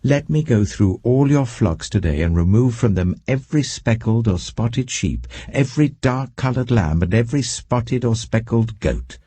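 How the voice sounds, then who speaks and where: A man's voice: medium pitch, animated, normal speed, hardly any pauses, mellow, slightly rough, dry recording, pitched above the performer's own voice. A British man voicing an earnest, firm herdsman in a scripture story, pleading his case emphatically. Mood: firm, persuasive.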